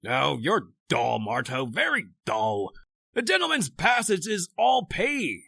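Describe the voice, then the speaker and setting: A man's voice: on the high side, huge pitch swings, takes it slowly, gravelly, pushed and bright, husky, dry recording, studio quality, exaggerated voice. A man playing a callous, greedy schooner captain, condescending and gleeful, in theatrical radio horror style. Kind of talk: old-time radio horror acting.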